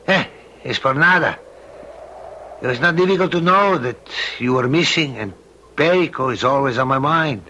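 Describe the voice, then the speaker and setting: A man's voice: mid-range voice, moderate pace, pushed and bright, close to an everyday voice. An actor playing a stern, courtly middle-aged Spanish father in an old-time radio drama, formal and commanding with a Spanish accent. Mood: courtly, apologetic. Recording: lightly noisy vintage radio recording.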